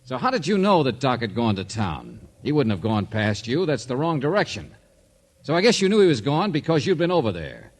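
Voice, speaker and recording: An American man playing a tough, wry private investigator in old radio drama style, clipped and commanding, with a husky voice. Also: medium pitch, huge pitch swings, fairly quick. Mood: needling. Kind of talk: 1940s-50s American radio drama acting.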